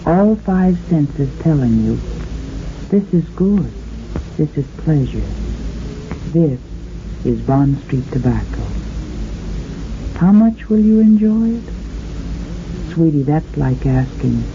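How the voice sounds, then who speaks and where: Female, American, 1950s radio hostess doing sponsor copy: languid, unhurried, intimate and sultry, on a dull vintage recording. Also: low voice, lively intonation, background noise. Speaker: radio show host delivering a sponsor advertisement. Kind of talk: intimate 1950s radio sponsor pitch, addressing listener as sweetheart.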